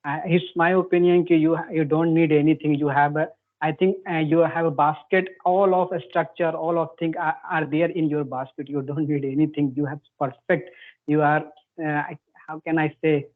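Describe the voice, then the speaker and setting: Halting young Indian man, tinny phone-like line. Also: on the high side, level tone. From Bihar, India. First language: Hindi. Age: young adult. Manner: halting non-fluent English, repeats phrases, deferential. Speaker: host, English learner. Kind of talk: casual conversational English practice chat. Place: remote call. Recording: tinny phone-like internet call.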